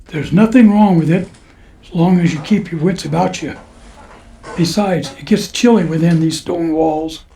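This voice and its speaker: A man's voice: a little high, normal speed, vocal fry, mellow. A man playing an eccentric, kindly church caretaker who talks in a folksy, knowing, preachy way in a western radio drama. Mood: friendly, wry. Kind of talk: old-time radio western acting.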